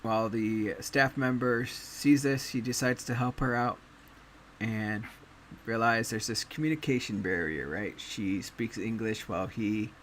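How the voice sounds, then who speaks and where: A man's voice: fairly low pitch, a little slow, slight background noise. Laid-back man reviewing anime. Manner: relaxed, hesitant, many fillers. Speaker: podcast host. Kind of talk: casual anime review chat.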